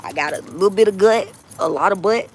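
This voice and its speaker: A woman's voice: medium pitch, a little fast, a little hiss or hum. A woman in her forties chatting to viewers on a phone livestream outdoors. She talks bluntly and repeats herself, swearing and laughing often. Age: 40s. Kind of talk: casual live-stream chat with viewers.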